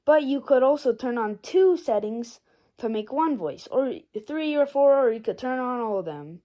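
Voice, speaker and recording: Casual vlog reviewer, soft mellow tone, informal and rambling, slightly hesitant delivery. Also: high-pitched, expressive, moderate pace. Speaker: video reviewer.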